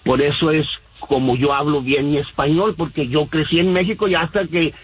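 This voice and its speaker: A man's voice: a little high, fairly even intonation, moderate pace, husky, dry recording, muffled recording. A man from Mexico, calling in to a radio show and talking in a rambling way with many fillers. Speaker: caller. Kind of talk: phone-in radio call. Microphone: phone line.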